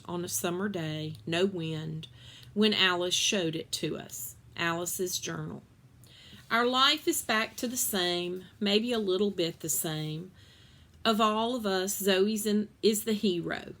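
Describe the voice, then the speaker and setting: A woman reading a children's novel aloud in an expressive storytelling style. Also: on the low side.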